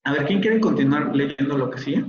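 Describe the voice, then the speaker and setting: A man's voice: fairly high pitch, brisk. Mexican guy teaching an online class, keeps asking "ok?", roomy and kinda muffled. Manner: explanatory, checks in with students. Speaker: university English teacher. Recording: roomy, dull online call audio.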